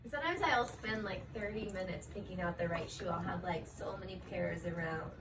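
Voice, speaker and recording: A woman's voice: fairly low pitch, fairly quick. Chatty woman heard from a distance. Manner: chatty, frequent fillers like "like" and "um". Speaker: professional ballet dancer answering interview questions. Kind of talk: casual interview answers. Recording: distant mic with steady background noise.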